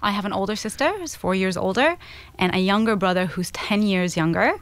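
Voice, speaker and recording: A woman's voice: medium pitch, brisk, no echo. A woman from Egypt, a guest on an interview show, telling her life story in a candid, reflective way. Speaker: interview guest, author and speaker.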